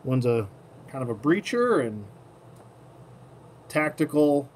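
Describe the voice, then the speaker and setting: A man with a mid-pitched voice talks casually through a hands-on hobby job, half to himself as he works. The recording is made indoors, with clearly audible room noise behind him. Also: big pitch swings, takes it slowly, a little mellow. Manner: murmurs to himself while working with his hands. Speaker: toy collector host. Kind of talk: casual hands-on tutorial commentary. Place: indoor tabletop setup. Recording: clearly noisy room ambience.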